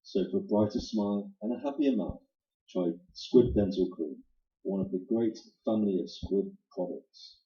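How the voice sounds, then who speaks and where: A man with a low, very dark voice works as a vintage radio announcer. He delivers sponsor copy with polished salesmanship. The sound is echoey. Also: normal speed. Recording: muffled sound in echoey room.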